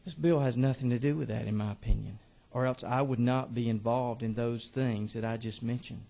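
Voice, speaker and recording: A man, a state representative, giving halting, earnest remarks to a committee on his bill. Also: medium pitch, steady tone, creaky, mellow. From Georgia. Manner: earnest, halting with many fillers.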